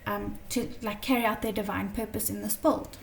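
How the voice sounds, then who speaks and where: A woman teaching a tarot lesson in a chatty, casual way, her voice slightly croaky from a long day of talking, often checking in with "okay?". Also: medium pitch, fairly even intonation, fairly quick, distant mic, slight background noise, poor audio. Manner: chatty, many fillers and "okay?" check-ins.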